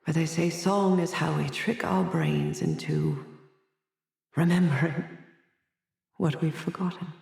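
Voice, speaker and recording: A man's voice: on the high side, wildly swooping pitch, measured pace, vocal fry, long pauses, darkish tone, echoey room, heavily acted. A man playing a haughty, haunted asylum doctor in a video game, theatrical and erudite, fretful and hesitant, obsessed with his art. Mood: haunted, brooding. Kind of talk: dramatic video game dialogue.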